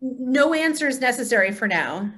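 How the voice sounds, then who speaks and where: Higher-voiced woman leading a group discussion. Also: sing-song, fairly quick, a bit bright. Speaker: cantor, discussion leader. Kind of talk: conversational facilitation. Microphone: video call.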